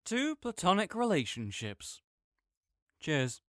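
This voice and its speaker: Guy voicing a chill caring buddy in an audio rp, smooth clear voice, gentle and a bit jokey, dry close mic. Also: high-pitched, wildly swooping pitch, takes it slowly, no echo, exaggerated voice. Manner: gentle, reassuring, softly joking. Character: caring, easygoing young male friend. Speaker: friend comforting the listener. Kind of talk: audio roleplay spoken to the listener.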